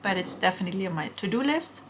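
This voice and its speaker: A woman's voice: medium pitch, lively intonation, fast talker. A young woman from Austria, chatting casually through a vlog Q&A from her bedroom, with frequent ums. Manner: chatty, frequent ums, answers viewer questions. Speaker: vintage shop owner vlogger. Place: bedroom at home. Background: faint construction noise from next door.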